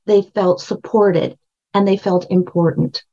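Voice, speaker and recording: Older woman guest, high voice, emphatic storyteller, dull lo-fi sound. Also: steady tone, a little slow, warm tone. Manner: emphatic, storytelling, repeats phrases for stress. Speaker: guest, brand strategist and book coach. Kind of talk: podcast interview.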